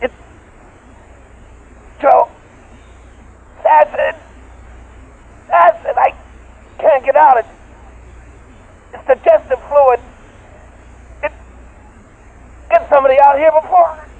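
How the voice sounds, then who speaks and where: An actor playing a field surveyor on a space expedition in an old-time radio drama, in a tinny recording. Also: male voice, very high-pitched, fairly even intonation, slow pace, gravelly, long pauses, full character voice. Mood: panicked. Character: laid-back spaceman field surveyor, turning to terrified victim.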